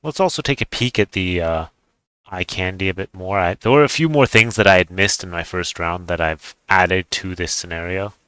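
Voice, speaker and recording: A man with a deep voice and a bright, forward tone talks through a game showcase. He is casual and unscripted, rambling a little as he thinks aloud. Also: normal speed, clean tone, dry recording. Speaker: gaming video creator.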